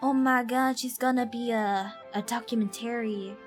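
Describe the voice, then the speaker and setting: Girl streamer w high voice, chatty n giggly, repeats stuff, faint music. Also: moderate pace. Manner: giggly, expressive, repeats phrases. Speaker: game livestreamer. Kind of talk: casual chatty stream commentary. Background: faint game music.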